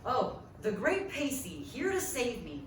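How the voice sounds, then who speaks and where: A woman's voice: fairly high pitch, huge pitch swings, unhurried. A woman acting a downbeat, dry former filmmaker, a man, in a comedic table read. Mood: wry. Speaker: actor in a live table read. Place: live performance venue. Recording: echoey, distant, noisy live recording.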